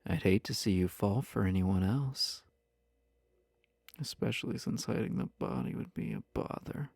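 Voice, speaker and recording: An actor voicing a flirty, possessive husband in an intimate roleplay audio, teasing the listener with coy, mock-innocent pick-up talk. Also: male voice, deep voice, sing-song, moderate pace, vocal fry, a little mellow, no room sound, studio quality, heavily acted. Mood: sly, mock innocent.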